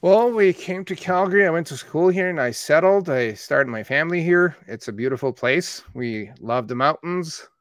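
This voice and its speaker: Agency owner type, man in his 30s, plain steady delivery, dry close mic. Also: a little high, moderate pace, few pauses, no room sound. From born in Iran, raised in Canada. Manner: steady, matter-of-fact storytelling. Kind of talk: casual conversation.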